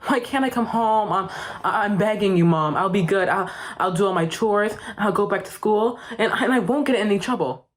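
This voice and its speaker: A woman's voice: mid-range voice, moderate pace, natural voice. A woman playing a childlike young patient who stammers and pleads with her mother.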